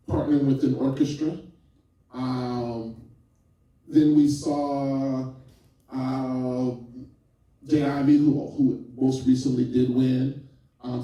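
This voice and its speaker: A man's voice: medium pitch, warm tone. A man hosting a panel discussion, talking casually and hesitantly with many fillers. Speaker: host. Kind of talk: panel moderation. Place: event room before a live audience. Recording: echoey hall, mic far off.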